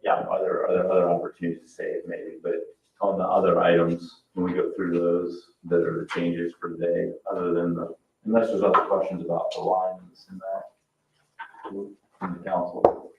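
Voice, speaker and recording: Deep, even-toned American man, distant. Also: moderate pace, vocal fry. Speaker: council member leading discussion. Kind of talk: informal meeting discussion. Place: indoor town council meeting room. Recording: roomy, distant, muffled meeting-room audio with faint noise.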